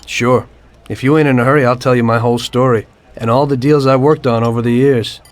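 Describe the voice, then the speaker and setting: A man's voice: medium pitch, a little fast, close to an everyday voice. An actor playing a former cab driver turned mafioso who coolly tells his story to a detective in a game cutscene. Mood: calm. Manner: calm, matter-of-fact storytelling. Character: male mafioso turned informant, calm and unrepentant. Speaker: narrator of his past. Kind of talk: video game cutscene acting.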